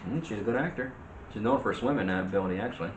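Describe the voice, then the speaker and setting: A man's voice: lowish voice, fast talker, lo-fi sound. A man chatting casually about movies as a co-host. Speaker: co-host of a film discussion show. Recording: echoey room, off mic, faint noise.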